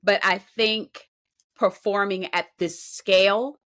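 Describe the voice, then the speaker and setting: Female podcast co-host, bright and forward voice, emphatic delivery, breaking down performances off the cuff. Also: mid-range voice, fairly even intonation, takes it slowly. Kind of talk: unscripted music show commentary.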